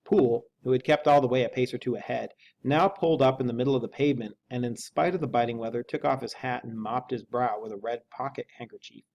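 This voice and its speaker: A man with a medium-pitched voice speaks as a teacher, moving between literary narration and informal explanation. Noise is clearly audible behind him. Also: fast talker, few pauses, a little mellow. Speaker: English teacher. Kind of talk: reading a novel aloud with informal lesson commentary.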